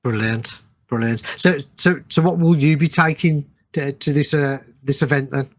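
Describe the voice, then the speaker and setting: A man's voice: on the high side, normal speed. A man hosting a podcast, chatting informally with short encouraging responses and questions. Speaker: podcast host. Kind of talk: casual interview chat.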